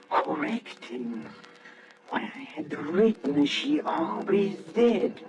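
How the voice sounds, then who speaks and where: A man's voice: fairly high pitch, low-quality recording. A man playing a nervous, secretive professor who puts on joviality, speaking hesitantly in old-time radio drama style. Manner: hesitant, stammering. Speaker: lead actor and narrator.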